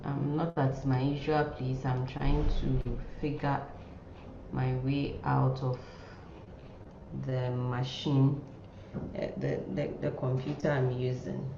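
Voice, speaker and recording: A woman giving an informal online nursing lecture in a halting way, often repeating words and phrases. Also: extremely low pitch, little pitch movement, gravelly. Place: indoor room, online class. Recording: muffled, roomy sound with faint noise.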